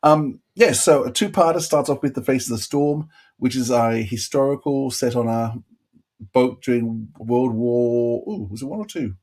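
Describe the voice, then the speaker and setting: Podcast guy, husky medium voice, rambles and cuts himself off, casual review chat. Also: mid-range voice, moderate pace, a little mellow. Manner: rambling, self-interrupting. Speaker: podcast co-host.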